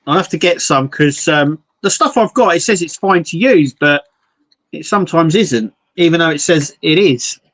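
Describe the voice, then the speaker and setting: A man co-hosting a live stream, chatting in a relaxed, jokey way about model building. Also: fairly high pitch, normal speed. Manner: relaxed, jokey, explains hobby builds with restarts. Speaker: livestream co-host, model builder. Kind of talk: casual chat.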